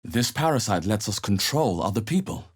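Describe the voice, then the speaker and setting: A man playing a watchful, wry adventurer hero in a fantasy video game, musing in measured asides. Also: on the low side, big pitch swings, slightly rough. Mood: wary, observant. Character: adventurer hero, male, watchful, wry, muttering observations while exploring. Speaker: player character in a fantasy role-playing game.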